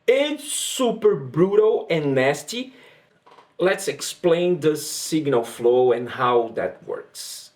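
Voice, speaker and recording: A man from Brazil with a Brazilian accent and Portuguese as his first language, chatting casually as he explains his guitar rig. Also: on the high side. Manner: relaxed and chatty, with frequent fillers and restarts. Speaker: guitarist demoing gear. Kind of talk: casual gear demo talk.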